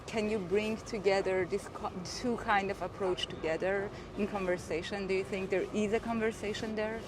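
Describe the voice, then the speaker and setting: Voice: mid-range voice, background noise. An interviewer asking long, hesitant questions outdoors, full of fillers and restarts. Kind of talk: casual interview. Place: outdoors at an art exhibition.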